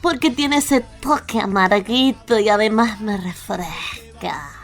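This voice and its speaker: A woman's voice: medium pitch, slow, faint music behind. A woman with an Argentine accent playing a tipsy, cheerful, carefree female slug in a radio fable, teasing and bubbly. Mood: carefree, coaxing. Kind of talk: radio fable acting with music bed.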